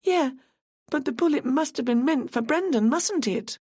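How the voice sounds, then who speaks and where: A woman's voice: lively intonation, moderate pace, darkish tone, dry recording, clean studio sound. A woman voicing a timid, tearful young woman in her twenties who sounds anxious and gushing. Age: 20s. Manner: high, sniffly, anxious and gushing.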